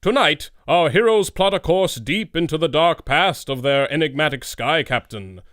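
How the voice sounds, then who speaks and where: An actor playing a dramatic, pompous announcer of an old-time radio adventure serial. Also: male voice, a little high, lively intonation, normal speed, fairly bright tone. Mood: grandiose, stirring. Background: music.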